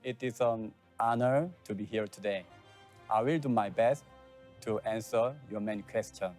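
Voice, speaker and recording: Man speaking slow English, Korean accent. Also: lowish voice, darkish tone, faint music behind. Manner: slow, careful English with short phrases. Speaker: game director.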